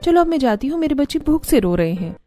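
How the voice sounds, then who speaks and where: A woman's voice: high voice, big pitch swings, fast talker, warm tone, faint music behind. A woman voicing a caring young village mother and daughter-in-law in a cartoon story. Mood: confident, brisk.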